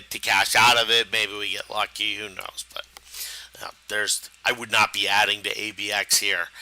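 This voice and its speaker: A man with a rough, hoarse voice hosting a live stock trading stream, talking in a halting way with many fillers and restarts. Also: medium pitch, sharp tone, dry recording, low-quality recording. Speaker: stock trading show host. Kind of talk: live market commentary.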